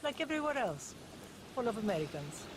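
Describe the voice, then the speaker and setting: A woman's voice: a little high, very animated, fairly quick, noisy background. An actress playing a blunt, suspicious woman on a tour, dry and needling, in a video game cutscene. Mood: cynical.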